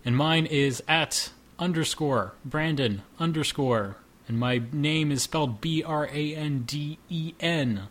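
A man's voice: a little high, slow, hardly any pauses, a bit bright. A man in his twenties hosting a casual group chat, joking and rambling. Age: 20s. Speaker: podcast host.

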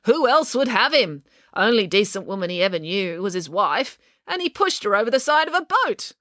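A woman's voice: mid-range voice, very animated, fairly quick, sharp tone, no echo, clean studio sound, pitched above the performer's own voice. A woman voicing a blunt, miserly old great-aunt who makes scornful, matter-of-fact remarks.

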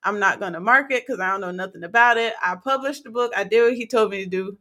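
Raspy, excitable young woman host. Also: mid-range voice, fast talker. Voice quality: raspy, hoarse. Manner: excitable, laughs often, many fillers. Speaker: podcast host. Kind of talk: faith-based conversational interview.

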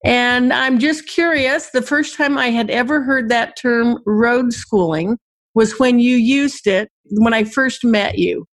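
Slow, bright-pitched woman host. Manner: slow and measured, reads the guest's introduction. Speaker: talk show host. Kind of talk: interview hosting.

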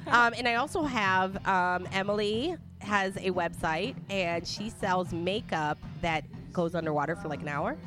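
A woman's voice: medium pitch, unhurried, hardly any pauses. A woman in her thirties hosting a podcast, chatting casually, bubbly and blunt, laughing often. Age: 30s. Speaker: podcast host. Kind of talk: casual chat.

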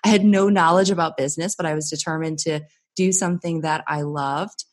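A woman's voice: low-pitched, steady tone, fairly quick. A woman in her thirties, originally from the United States, telling her business story with upbeat energy as a podcast guest. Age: 30s. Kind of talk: conversational interview storytelling.

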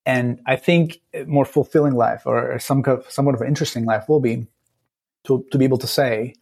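A man in his late thirties from Turkey with a Turkish accent, a podcast guest talking thoughtfully and pausing often to search for words. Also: mid-range voice, fairly even intonation, creaky, a little mellow, husky. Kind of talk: casual interview talk.

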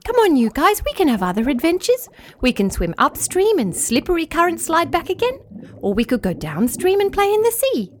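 A woman's voice: extremely high pitch, huge pitch swings, normal speed, slight background noise, voice pushed up, full character voice. A woman voicing a smart, sensible young female fish who firmly urges the others. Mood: coaxing. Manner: insistent, urging.

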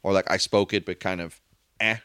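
A man with a fairly low voice hosts a casual podcast. He argues his points emphatically and bickers with his co-host. The sound is dry and close, with no room around it. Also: fairly even intonation, moderate pace, pushed and bright, clear, resonant tone, no echo. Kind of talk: casual opinionated chat.

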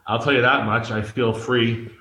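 A man's voice: medium pitch, fairly even intonation, moderate pace, sharp tone. A man in his forties from Massachusetts, candidly telling his life story as an interview guest, earnest and searching, often restarting his sentences. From Massachusetts, United States. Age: 40s. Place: a room in Mexico. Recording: echoey room.

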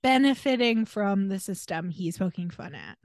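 A woman's voice: medium pitch, lively intonation, normal speed, no room sound, studio quality. A woman co-hosting a podcast, chatting casually through a film recap in a candid, opinionated, slangy way. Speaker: podcast co-host.

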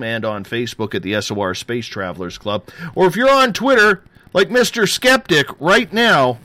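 A man in his forties hosts a late-night radio show. He moves between quick, upbeat plugs and a lower, slower tone for serious points. His voice sits at an ordinary middle pitch. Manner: energetic promo patter, drops low and slow for serious parts. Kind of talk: live radio show intro and chat.

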